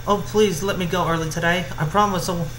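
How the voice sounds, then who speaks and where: A man voicing an excitable, earnest young female pony from a cartoon fan story, pleading and breathless. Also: high-pitched, brisk, voice pushed up. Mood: pleading, flustered. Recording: roomy echo, clear background noise.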